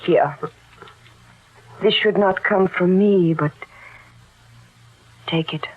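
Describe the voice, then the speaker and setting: An actress playing an earnest, loyal young daughter in a wartime radio drama, speaking in formal, careful phrasing. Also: female voice, on the low side, very slow. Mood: sorrowful, gentle. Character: grieving, loyal young daughter named Mia in war drama. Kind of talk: old radio drama acting. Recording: tinny, band-limited old radio recording.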